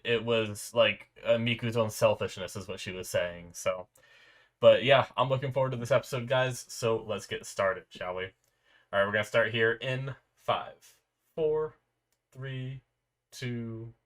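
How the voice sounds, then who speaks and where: Congested sick man hosting a reaction video. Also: mid-range voice. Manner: rambling, frequent filler restarts. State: sore throat. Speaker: anime reaction host. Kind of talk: casual reaction commentary. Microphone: desk mic with noise filter.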